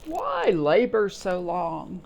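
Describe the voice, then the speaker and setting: A woman's voice: lowish voice, huge pitch swings, slow pace, vocal fry, a little mellow, full character voice. A woman as a lilting, playful storybook grasshopper. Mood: playful, inviting. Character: carefree, playful grasshopper who loves music and play. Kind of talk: children's picture-book read-aloud.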